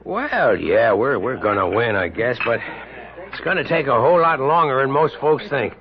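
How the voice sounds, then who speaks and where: An actor playing a principled, plain-spoken American army captain in a radio drama, steady and firm. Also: male voice, medium pitch, moderate pace, a little hiss or hum, lightly acted. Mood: wary, weary. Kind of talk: old-time American radio drama acting. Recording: dull, band-limited 1970s radio broadcast.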